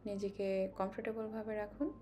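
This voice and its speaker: A woman guides a meditation slowly and calmly, giving instructions with long pauses. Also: mid-range voice, soft background music. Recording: clearly noisy, ambient sound behind voice.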